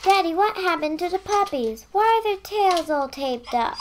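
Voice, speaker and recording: A woman's voice: very high voice, animated, normal speed, a little hiss or hum. A young female performer playing a chatty, worrying little girl in a radio play. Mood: worried.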